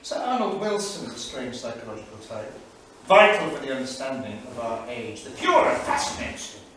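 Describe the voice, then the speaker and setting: A grave English intellectual of the late 1930s, a man reading his diary with anguish and emphasis. His mid-pitched voice is measured and theatrical, heard from far off in a very echoey studio with faint noise. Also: sing-song, fairly bright tone. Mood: sardonic, alarmed. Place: small theatre studio, indoors.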